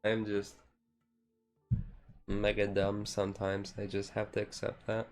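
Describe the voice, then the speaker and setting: A man with a fairly low voice talks casually to his stream. His delivery stays flat and unhurried in melody, wandering from thought to thought. He is quick to put himself down in an offhand way. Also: soft background music. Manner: rambling, self-deprecating. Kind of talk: casual live-stream chat while sorting cards.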